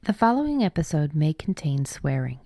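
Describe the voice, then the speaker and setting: A woman's voice: deep voice, sing-song, moderate pace, dark tone, dry recording, studio quality. A woman hosting a podcast, giving informal announcements to listeners. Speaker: podcast host.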